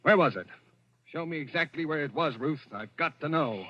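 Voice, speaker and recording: A man's voice: on the high side, expressive, moderate pace, close to an everyday voice. An actor playing a desperate man on the run with lost memories, tense, insistent and clipped, in 1940s radio thriller style. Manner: tense, insistent, clipped, brooding when narrating. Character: desperate amnesiac man on the run, accused of murder. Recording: dull lo-fi vintage radio recording.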